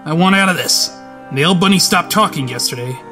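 Brooding, self-pitying young male killer, acted by a man, higher-pitched, intense comic-dub monologues over loud music. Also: expressive, a little fast, lightly acted. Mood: weary, bitter. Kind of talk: dramatic comic-book dub. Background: music under the voice.

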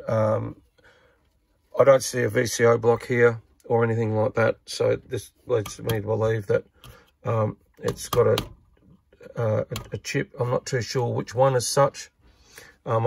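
Australian man with a creaky voice. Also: medium pitch, a little mellow, no echo. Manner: hesitant, thinking aloud as he works. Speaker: radio repair hobbyist presenter. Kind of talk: casual unscripted repair walkthrough.

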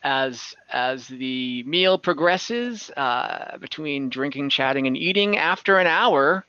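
A man running an online tabletop fantasy game as game master, narrating off the cuff in halting fragments. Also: mid-range voice, animated, bright, forceful tone, smooth, no room sound. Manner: halting, pieces scenes together in fragments. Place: indoors at home, on a group video call. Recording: slightly dull video-call audio.

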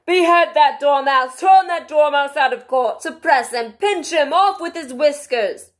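A woman's voice: very animated, fairly bright tone, exaggerated voice. A woman voicing a shrieking, tyrannical, bloodthirsty storybook queen who draws out her imperious commands. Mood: furious. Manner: shrill, drawn-out imperious commands.

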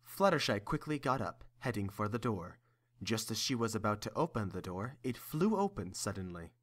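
A man's voice: lowish voice, sing-song, normal speed. A man narrating a scripted story.

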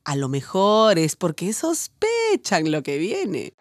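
A woman's voice: fairly low pitch, wildly swooping pitch, slow, no room sound, studio quality, heavily acted. An actress playing a frank, playful woman doctor who hosts a radio advice show in a teasing, instructive way. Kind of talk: radio drama advice programme.

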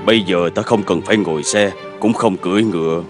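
An actor dubbing a calm, resolute middle-aged chancellor and strategist in an animated historical drama, measured and commanding. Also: male voice, low voice, soft background music, natural voice. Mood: serene.

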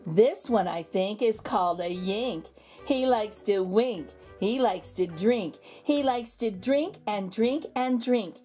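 Slow-reading woman telling a children's story. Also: medium pitch. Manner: deliberate, rhythmic, expressive reading. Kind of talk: children's read-aloud. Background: background music.

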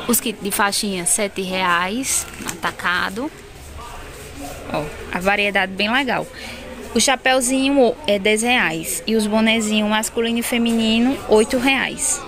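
A woman's voice: medium pitch, a crowd in the background. Chatty Brazilian shopkeeper woman. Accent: Pernambuco Portuguese. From Northeast Brazil. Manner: chatty, lists prices quickly, addresses viewers as "gente". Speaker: wholesale shop owner. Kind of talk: casual product walkthrough. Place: indoor baby clothing shop. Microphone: phone camera held while filming.

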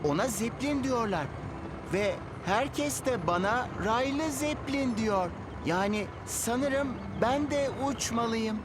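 A man's voice: very high-pitched, animated, slow pace, background noise, heavily acted. A man voicing an eager, naive cartoon railcar with an earnest, wistful way of talking. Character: eager, naive male propeller railcar longing to fly. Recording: loud music under the voice.

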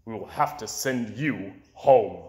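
A man voicing a bossy, excitable animal show director from a storybook, speaking in an emphatic way. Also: lowish voice, slow pace, reverberant, pitched below the performer's own voice. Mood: stern.

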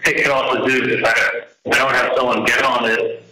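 A middle-aged man talking casually and haltingly on a recorded jail phone call, rambling as he goes. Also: mid-range voice, flat delivery, sharp tone, echoey room. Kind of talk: casual private phone conversation.

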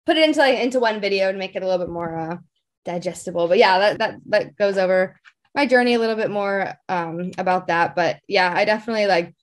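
A woman's voice: mid-range voice, fast. A young adult woman telling personal stories as an interview guest, in an informal, rambling way peppered with filler phrases. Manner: informal, rambling, frequent "like" and "you know". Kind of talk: casual conversational interview, personal storytelling.

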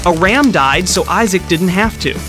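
A man giving a scripted, upbeat storytelling narration for an animated children's Bible video. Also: high-pitched, normal speed. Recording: studio voice-over with music underneath.

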